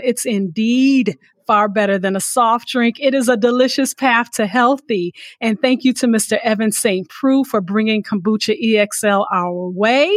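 A woman with a somewhat high voice hosts a podcast in a dry, close sound. She is effusive and gracious, and she places her words deliberately as she addresses guests and listeners. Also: dry recording. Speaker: podcast host. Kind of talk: warm interview hosting with sign-offs.

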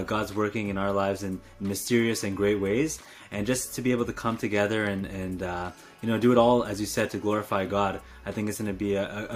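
An upbeat, encouraging man in his twenties co-hosting a casual podcast chat. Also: on the low side. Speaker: podcast co-host.

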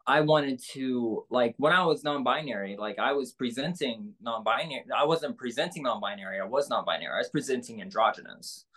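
A woman singer with a low speaking voice, talking candidly and loosely with many fillers in an interview. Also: lively intonation, fairly quick, hardly any pauses, a bit bright, husky. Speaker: singer-songwriter guest. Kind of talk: casual interview conversation.

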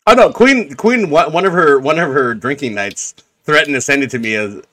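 A man's voice: fairly high pitch, normal speed. A man in his twenties co-hosting a podcast, joking and teasing in casual group chat. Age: 20s. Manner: joking, teasing, animated storyteller. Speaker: podcast co-host.

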